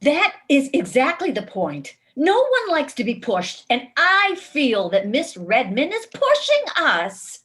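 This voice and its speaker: Woman as slow, expressive, prim housewife. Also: sits high, heavily acted. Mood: prim, defensive. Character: prim suburban housewife, politely disapproving. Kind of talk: staged reading of a satirical play. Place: video call.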